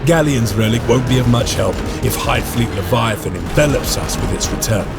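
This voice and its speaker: A man playing a grave, devout space marine elder in a video game, with a gravelly, measured voice. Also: medium pitch, expressive, loud music behind the voice. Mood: grim. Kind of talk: game cutscene acting.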